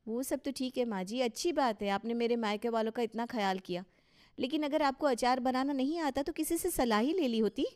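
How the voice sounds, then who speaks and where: A cheeky young daughter-in-law in a family cartoon, acted by a woman. Her voice is fairly high. She speaks politely but with a teasing, questioning manner. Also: fairly quick, darkish tone, natural voice. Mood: sceptical. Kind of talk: Hindi cartoon story dialogue.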